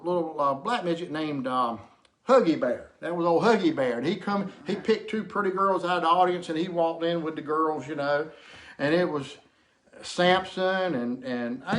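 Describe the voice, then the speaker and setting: A man's voice: fairly high pitch, a bit bright. A man telling a casual comic anecdote to camera in a rambling, folksy way, often repeating himself. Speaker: storyteller.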